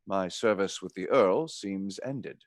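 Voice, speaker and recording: An actor playing a courteous, smooth-tongued knight who speaks formally in a radio play. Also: male voice, low-pitched, slow pace, no room sound, studio quality.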